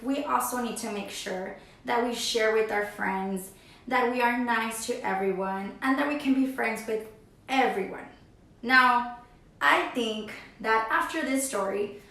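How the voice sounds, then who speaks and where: Teacher lady talking sweet to little kids, kinda high voice, big echoey room. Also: fairly bright tone. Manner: sweet, encouraging, talks to kids. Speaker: teacher hosting a children's read-aloud channel. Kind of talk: warm address to young children.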